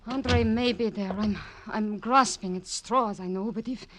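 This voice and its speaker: An actress playing an anxious, melodramatic prima ballerina in 1940s radio drama style, halting and repeating words in distress. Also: female voice, mid-range voice, lightly acted. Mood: fearful. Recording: noisy old radio recording.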